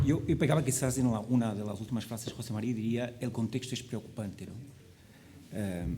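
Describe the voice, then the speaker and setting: A Portuguese man speaking Spanish with a Portuguese accent as a panelist, halting with many restarts. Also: medium pitch, noisy background. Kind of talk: panel discussion.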